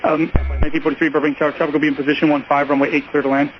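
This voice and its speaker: A man's voice: a little high, flat delivery, racing. A man working as an air traffic tower controller, giving clipped procedural instructions over aviation radio. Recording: muffled, lo-fi radio with faint noise.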